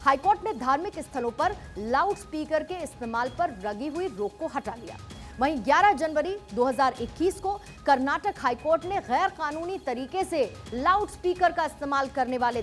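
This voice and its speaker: High-pitched woman presenting TV news. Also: lively intonation, moderate pace, fairly bright tone, soft background music. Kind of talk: scripted Hindi TV news explainer narration.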